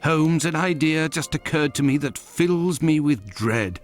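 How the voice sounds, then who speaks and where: An actor playing an earnest, troubled gentleman, a detective's loyal companion, in a video game, speaking formally. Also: on the high side, animated, measured pace, faint music behind. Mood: troubled, questioning. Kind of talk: scripted video game acting.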